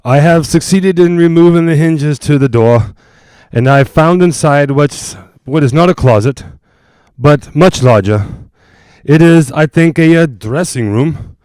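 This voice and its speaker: A male actor playing an earnest doctor investigating a haunted house in a 1940s-style radio drama, reporting in a formal, measured way. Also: on the high side, darkish tone, dry recording, natural voice. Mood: cautious, intrigued.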